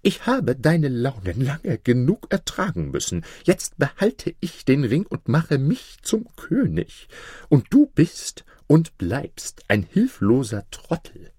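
A scheming royal vizier from a storybook tale. The voice is pitched well up, strained and whining. He drags out his words with jealous insinuation. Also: huge pitch swings, mellow, slightly rough, dry recording, clean studio sound, exaggerated voice. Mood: gloating. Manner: whining, drawn-out.

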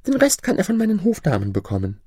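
A spoiled young princess from a fairy-tale reading, shrill and imperious, her voice leaping far above the reader's own. A man acts her out. The sound is dry and close. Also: huge pitch swings, fast, mellow, breathy edge, no room sound, full character voice. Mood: haughty commanding. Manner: high-pitched, imperious, wailing when sad. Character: proud, capricious young princess, emperor's daughter.

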